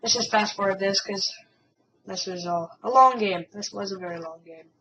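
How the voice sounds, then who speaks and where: A woman giving casual, hesitant commentary on a replay of a strategy game battle, a bit hoarse from a cold. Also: mid-range voice, moderate pace, a bit bright. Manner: hesitant, many ums and restarts. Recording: distant, muffled mic with faint hiss.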